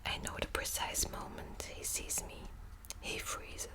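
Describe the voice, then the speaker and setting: A woman whispering close to the mic in an ASMR book video, hesitant with many ums and restarts, also reading passages aloud. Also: unhurried. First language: Dutch. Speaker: ASMR creator.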